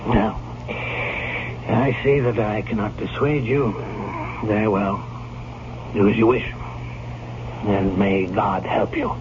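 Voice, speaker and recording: Grave doctor on noisy old radio. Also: male voice, on the low side, fairly even intonation. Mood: stern resignation. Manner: emphatic, deliberate, ominous. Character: grave male family doctor, blunt, foreboding. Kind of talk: old-time radio drama acting. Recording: dull, noisy vintage radio recording.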